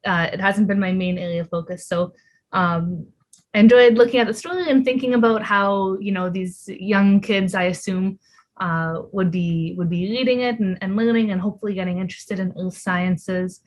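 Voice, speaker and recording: A woman's voice: medium pitch, fairly even intonation, moderate pace. Young woman, friendly and thoughtful. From Ontario, Canada. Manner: friendly, thoughtful, many fillers. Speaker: guest, PhD student. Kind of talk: casual interview.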